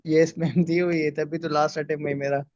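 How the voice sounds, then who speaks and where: Even-toned Pakistani man, fairly high voice. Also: a little fast, dry recording. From Sindh, Pakistan. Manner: polite, deferential. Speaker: student in online class. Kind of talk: casual polite answers to teacher. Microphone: online class call. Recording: slightly dull online-call audio.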